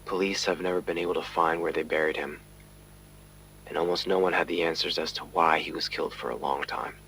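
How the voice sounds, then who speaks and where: A weary police detective narrates in an extremely deep, gravelly voice with little rise and fall. He sounds grave and uneasy, as if he might be watched. A faint hum sits behind him. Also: steady tone, fairly quick, bright, forceful tone, full character voice, low-quality recording. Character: weary male police detective recording his case. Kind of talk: scripted audio drama narration.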